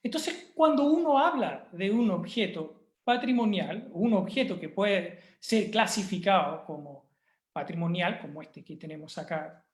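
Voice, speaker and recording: A man with a Chilean Spanish accent giving a university course presentation over a video call, thoughtful and pausing with fillers and restarts. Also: sits high, expressive, echoey room. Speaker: university lecturer.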